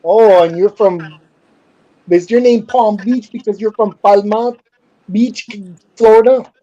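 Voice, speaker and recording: Hesitant host with a mellow voice. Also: male voice, high voice, fairly even intonation, slow, muffled recording. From Houston, Texas. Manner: hesitant, many fillers and restarts, quizzing guests. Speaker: stream host. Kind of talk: casual chat.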